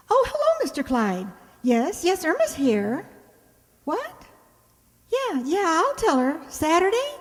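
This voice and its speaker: A woman's voice: high voice, heavily acted. A woman playing a sensible, coaxing young roommate who reasons and placates, in old-time radio comedy style. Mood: bright, obliging. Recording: echoey hall, long reverb.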